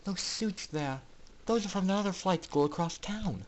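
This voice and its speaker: A man voicing a young female pony student, subdued and fearful, speaking in a soft, hesitant hush for a story reading. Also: high voice, lively intonation, normal speed. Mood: shocked. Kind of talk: dramatic fan fiction reading.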